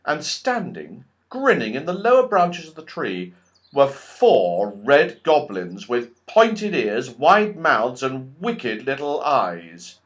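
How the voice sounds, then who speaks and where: A man reading a children's story as a narrator, with expressive, scripted storytelling. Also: medium pitch, slow pace. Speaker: audiobook reader.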